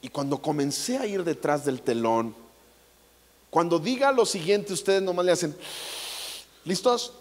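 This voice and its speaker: A man's voice: fairly high pitch, lively intonation, measured pace, pauses often. A man in his thirties with a Mexican accent, preaching on a large theater stage into a handheld mic in a joking, playful way. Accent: Mexican Spanish. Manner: playful, jokey, acts out quotes. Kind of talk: comedic stage sermon. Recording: long hall reverb.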